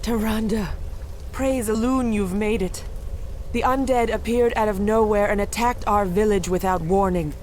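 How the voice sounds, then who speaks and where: An actress playing an earnest, deferential young night elf sentinel in a video game. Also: female voice, mid-range voice, unhurried, a little mellow, slight background noise, close to an everyday voice. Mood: anxious relief. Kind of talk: video game cutscene acting.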